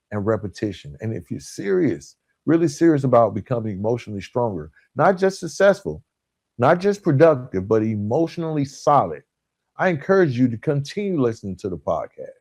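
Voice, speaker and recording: A man delivers a motivational podcast monologue at a medium pitch. He is earnest and emphatic, breaking his points into short, deliberate phrases and repeating key words to drive them home. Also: a little mellow. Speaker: podcast host.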